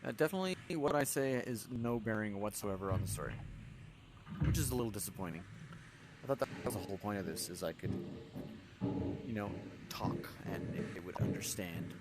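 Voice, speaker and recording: Sardonic man gaming over loud noise. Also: fairly low pitch, animated, a little fast. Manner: sarcastic, swearing. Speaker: gamer and reviewer. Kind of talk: casual improvised gameplay commentary. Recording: noisy gameplay capture.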